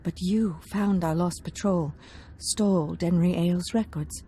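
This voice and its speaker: Woman playing a mellow, low-pitched noblewoman. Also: unhurried, soft background music, close to an everyday voice. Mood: earnest, pleading. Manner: gentle, earnest, coaxing. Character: earnest, seductive noblewoman, baron's discontented wife. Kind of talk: scripted video game acting.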